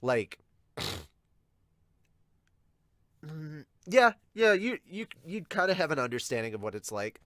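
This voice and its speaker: A male podcast host talks in a very lively, swooping way. He explains things emphatically in loose, casual chat and swears freely. The sound is dry, with hardly any room to it. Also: a little high, takes it slowly, long pauses, dry recording. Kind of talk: casual chat, manga reaction.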